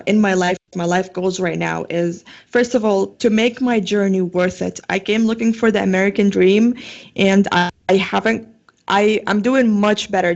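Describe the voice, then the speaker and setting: A young woman with a Syrian Arabic accent and a gravelly, creaky edge to her mid-pitched voice. She chats loosely as an interview guest, full of "like" and "um". The audio sounds dull and muffled. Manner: chatty, lots of "like" and "um". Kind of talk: casual interview talk.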